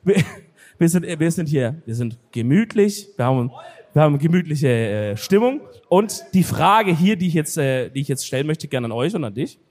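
A high-voiced man jokes and calls out to the audience from a stage. His voice rings with long reverb through a big hall. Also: expressive. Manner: loud, joking, drawn-out exclamations. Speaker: podcast host on live stage. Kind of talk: live comedy podcast banter. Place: large sold-out concert hall. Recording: live hall recording with crowd.

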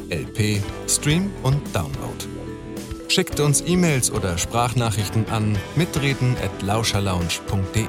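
Low-voiced male announcer over music. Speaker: credits announcer. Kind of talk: scripted announcement. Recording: music under the voice.

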